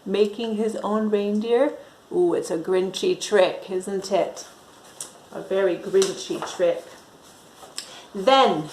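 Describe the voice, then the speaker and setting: A woman's voice: mid-range voice, darkish tone. A woman reading a picture book aloud to children, expressively drawing out words and engaging her young listeners. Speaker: children's storyteller. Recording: roomy echo.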